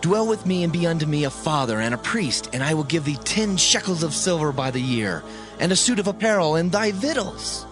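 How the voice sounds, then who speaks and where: Eager-to-please Israelite son for Bible drama: high-pitched, earnest, soft music under. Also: male voice, big pitch swings, fairly quick. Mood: earnest offer. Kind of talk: dramatized audio Bible acting.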